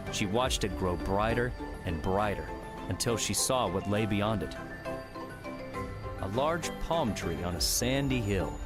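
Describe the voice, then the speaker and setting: A man narrating an audiobook in a measured, steady storytelling manner. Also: fairly low pitch. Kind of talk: scripted narration. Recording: music bed under the voice.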